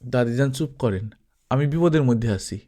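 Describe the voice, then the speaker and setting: Storybook part: troubled honest young man acted by a male reader, subdued, polite, brief answers, quietly stubborn. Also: mid-range voice, normal speed, lightly acted. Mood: distressed. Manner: short, subdued, polite replies.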